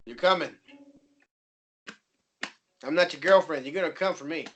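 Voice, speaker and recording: A man's voice: fairly high pitch, normal speed, a bit bright, highs cut off, a little hiss or hum. A man co-hosting a podcast with casual, teasing, joking banter. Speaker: podcast co-host.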